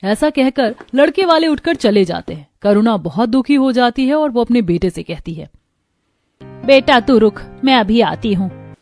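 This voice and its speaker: A woman narrating a cartoon moral story in an even storytelling manner. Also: fairly high pitch. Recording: faint background music.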